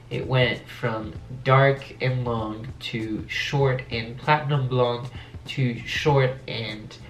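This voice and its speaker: Echoey young French man with allergy-affected voice. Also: mid-range voice. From France. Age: young adult. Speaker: video blogger. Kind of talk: casual Q&A chat to camera.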